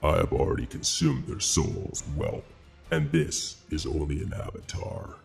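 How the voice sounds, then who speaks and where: A cruel ancient demon, its voice sunk very deep and grinding with gravel. It drawls every word with slow, scornful menace, over a faint music bed. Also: male voice, big pitch swings, heavily acted. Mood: menacing. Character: ancient mocking male demon avatar.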